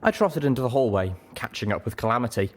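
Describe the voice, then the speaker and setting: A man reading a story aloud as expressive, scripted first-person narration. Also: mid-range voice, quick pace, a little hiss or hum. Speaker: audiobook reader.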